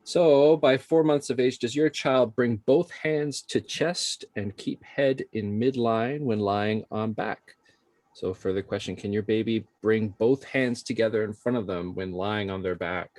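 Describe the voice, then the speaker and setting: A man with a slightly deep voice explains things to parents in an informal, loosely phrased manner. He sounds like a casual facilitator, recorded dry without much room sound. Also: a little slow, darkish tone, no room sound. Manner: informal, hedging with frequent "like". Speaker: early childhood program facilitator.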